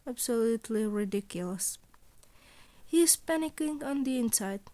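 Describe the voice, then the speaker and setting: A woman's voice: fairly high pitch, animated, normal speed, warm tone. A woman narrating fan fiction in an amateur story-reading style.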